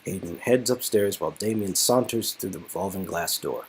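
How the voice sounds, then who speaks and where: A man's voice: low-pitched, normal speed, a little hiss or hum, rough recording. A man giving a casual, playful dramatic reading of a story, with colloquial ad-libbed asides. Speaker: amateur reader performing an interactive game's dialogue.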